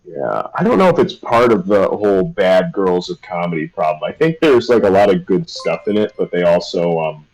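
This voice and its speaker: A man's voice: low-pitched, very animated, normal speed, hardly any pauses, darkish tone, rough recording. A young man chatting casually on a live stream, rambling and hesitant with lots of fillers. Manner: rambling, hesitant, self-deprecating. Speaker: streamer and artist.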